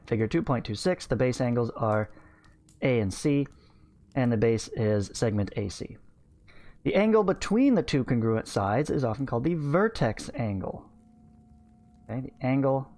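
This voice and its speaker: Man in his thirties, math teacher, medium pitch, read-along lesson, informal explanations, frequent check-in tags, close mic. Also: a little mellow. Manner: informal, explanatory, often checking with "right?" and "okay". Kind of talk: read-along lesson, reading a textbook aloud with asides. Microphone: close studio microphone on a stand.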